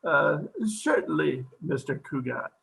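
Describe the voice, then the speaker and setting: A man playing an eccentric, absent-minded psychiatrist, halting and pompous, in old-time radio comedy style. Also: a little high, lively intonation, gravelly, fairly bright tone, exaggerated voice, poor audio. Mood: smooth, insistent.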